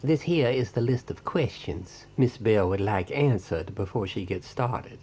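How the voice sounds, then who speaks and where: An actor playing a short, polite hillbilly ghost hunter's assistant in an audio drama, folksy and deferential. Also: male voice, lowish voice, animated, measured pace, mellow, breathy edge. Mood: polite, businesslike questioning. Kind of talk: audio drama character dialogue.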